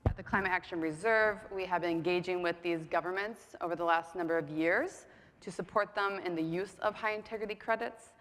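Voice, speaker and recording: Woman panel moderator, lowish voice, chatty and self-deprecating, miked in a reverberant conference hall. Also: brisk, a bit bright. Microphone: mic on stage. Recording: echoey hall.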